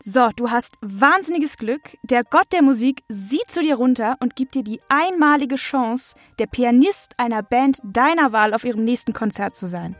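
Host: young woman, fairly high voice, playful informal interviewing style, light music bed. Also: expressive, normal speed, hardly any pauses, no echo. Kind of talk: casual scripted interview questions.

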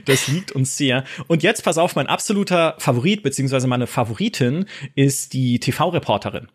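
A man from Baden with a Baden German accent, co-hosting a podcast and telling game anecdotes in an animated way, laughing often. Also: medium pitch, moderate pace, husky. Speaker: podcast co-host. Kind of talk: casual podcast chat.

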